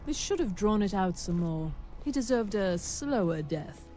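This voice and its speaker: A haughty boutique keeper in a fantasy game, acted by a woman. She talks down to people in a mid-pitched, mellow tone with faint music behind. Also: lively intonation, a little slow, natural voice. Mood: cold, disdainful. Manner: condescending. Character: haughty snobbish female clothing shopkeeper.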